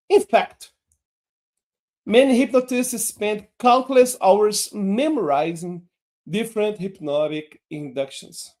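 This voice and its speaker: Slow, deliberate male teacher. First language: Portuguese. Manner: slow, deliberate delivery with lively pitch swings. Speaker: hypnosis teacher. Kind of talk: online lesson.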